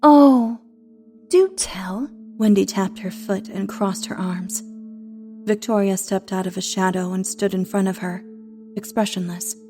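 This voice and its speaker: A woman's voice: mid-range voice, flat delivery, moderate pace, darkish tone. A woman narrator reading a scripted horror story. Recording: music under the voice.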